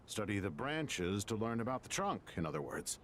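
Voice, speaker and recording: An actor voicing a spry, competitive old scholar in a video game, theatrical and a little grumbling. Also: male voice, lowish voice, very animated, normal speed, fairly bright tone, slight background noise. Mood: scholarly. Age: older.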